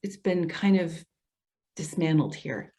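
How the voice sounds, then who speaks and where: A woman's voice: low-pitched, slow pace, warm tone. A woman playing a patient, concerned social worker in a readers theater drama, speaking in an earnest, coaxing way. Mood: weary, confiding. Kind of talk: readers theater stage acting.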